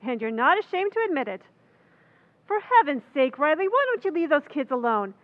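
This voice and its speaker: A woman's voice: high voice, big pitch swings, fairly quick. A woman playing a sensible, scolding wife in a radio play. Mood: exasperated. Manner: scolding, dry. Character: sensible exasperated wife, sharp-tongued. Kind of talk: old-time radio drama acting. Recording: dull lo-fi recording.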